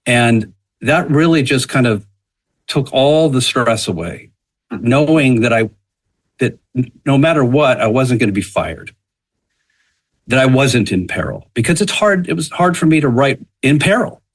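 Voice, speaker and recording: A man with a medium-pitched voice shares memories as a podcast guest. His delivery is conversational and emphatic, broken into short phrases that he often restarts. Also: lively intonation, measured pace. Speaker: television writer, panel guest. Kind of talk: anecdotal recollection in a podcast conversation.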